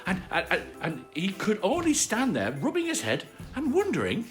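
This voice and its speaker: A storytime host reading a children's story in a playful, animated way, stumbling now and then. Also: mid-range voice, moderate pace, soft background music. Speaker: live storytime reader and host.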